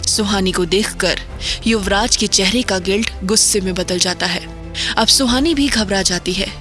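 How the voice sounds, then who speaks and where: A woman in a middle register tells a horror audio drama in a steady, measured way and builds suspense line by line. Music plays beneath her voice throughout. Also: medium pitch. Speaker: narrator. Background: background music.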